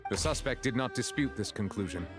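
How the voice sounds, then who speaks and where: A low-voiced, dignified male judge played by a man presides with measured authority. His speech is formal and stern, in dramatic video game style. Prominent music plays behind him. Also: lively intonation, lightly acted. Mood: formal recounting.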